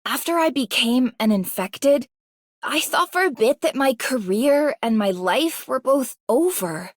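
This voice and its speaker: Hopeful hero girl from a game, high voice, slow and earnest, dry studio. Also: no echo. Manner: earnest, encouraging. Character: resilient, hopeful heroine fighting back from hardship. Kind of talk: video game character voice lines.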